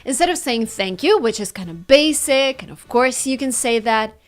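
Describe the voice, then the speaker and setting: A woman with a Russian accent, teaching an online English lesson and acting out example phrases with lively intonation. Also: fairly high pitch, normal speed.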